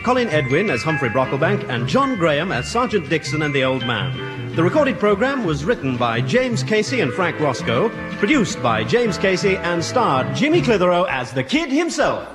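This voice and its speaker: A man announces the credits of an old radio show in a scripted manner. His pitch is a little high and varies widely, with very prominent music behind him. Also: big pitch swings, normal speed. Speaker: closing announcer.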